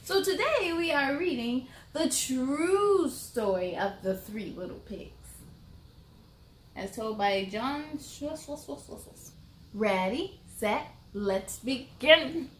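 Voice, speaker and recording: A woman reading aloud for children as a storytime host, with expressive, deliberate phrasing. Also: high voice, roomy sound, slight background noise. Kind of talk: animated children's read-aloud.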